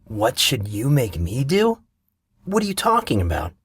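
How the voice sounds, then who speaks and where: A man's voice: medium pitch, huge pitch swings, unhurried, breathy edge, dry recording, exaggerated voice. A man voicing a wry, sarcastic teenage boy, easily exasperated. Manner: sarcastic, dry.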